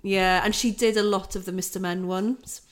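A woman's voice: medium pitch, fairly even intonation. A woman in her thirties from Wales, a native Welsh speaker, chatting casually as a podcast co-host and asking lots of questions. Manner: chatty, quick to laugh and ask questions. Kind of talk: casual chat.

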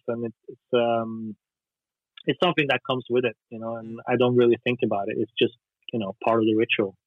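A man's voice: medium pitch, no room sound. Norwegian man on a tinny phone line. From Norway. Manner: hesitant, many fillers and restarts. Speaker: musician guest. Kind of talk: casual interview chat.

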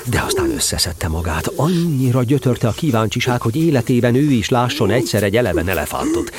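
A man narrating a storybook audio drama with playful, expressive storytelling. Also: medium pitch, crowd noise.

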